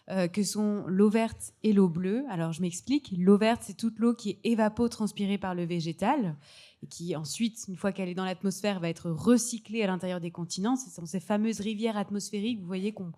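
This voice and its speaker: Woman on a panel, soft mellow tone, mid pitch, explains stuff step by step. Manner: didactic, articulate. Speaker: panel guest, water expert.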